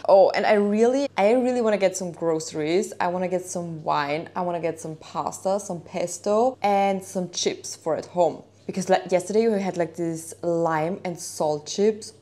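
A young woman in her twenties chatting to camera in a rambling, excitable vlog from a small kitchen. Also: lowish voice. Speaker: vlogger. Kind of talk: casual vlog chat to camera. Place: indoors in a small kitchen of a holiday house.

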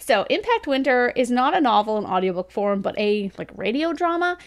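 A woman's voice: fairly high pitch, sing-song, brisk, smooth. A woman in her thirties giving a casual, chatty review as host, informal in manner and talking straight to the camera. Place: small indoor room lined with bookshelves.

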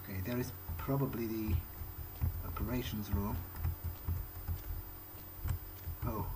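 A man's voice: on the low side, fairly even intonation, moderate pace, noisy background, natural voice. A man voicing a thoughtful, cautious vampire who thinks aloud in a hesitant, understated way during a game playthrough. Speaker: roleplaying video game player. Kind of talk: in-character improvised game playthrough. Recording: game music behind the voice.

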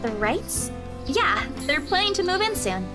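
Woman playing breezy young woman, high voice, lively intonation, teasing, visual novel dialogue, music behind. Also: unhurried.

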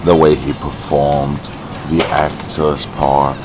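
A man in his thirties chatting casually in a shop, halting with many fillers, and slightly hoarse from a cough. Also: a bass voice, flat delivery, noisy background. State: has a cough. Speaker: vlogger. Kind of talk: casual chat. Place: indoors in a large shop.

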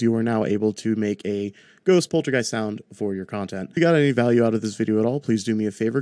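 Low-pitched young man, casual tutorial host. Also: a little mellow. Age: 20s. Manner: informal, chatty explaining. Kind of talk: casual screen-recorded tutorial. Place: small home room, indoors. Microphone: close desk microphone on boom arm.

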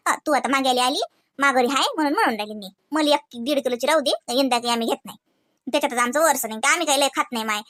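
A woman's voice: high voice, a bit bright, no echo, studio quality. A woman voicing a proud, shrewd older village aunt in a Marathi comedy cartoon, grumbling emphatically with a Varhadi accent from Vidarbha.

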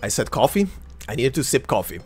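A man's voice: medium pitch, sing-song, a little fast, darkish tone, breathy edge. A man in his thirties whose first language is Croatian, chatting casually and rambling as he hosts a let's play. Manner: chatty, rambling, self-correcting with many fillers. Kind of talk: casual gameplay commentary. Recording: faint game music behind.

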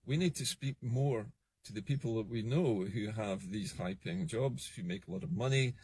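A Scottish man in his fifties giving an earnest, measured political monologue to a phone camera from a parked car. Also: lowish voice, a little mellow, a little hiss or hum. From Scotland. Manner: earnest, measured, emphatic on key points. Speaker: political commentator. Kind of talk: solo political vlog monologue. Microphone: phone camera at arm's length.

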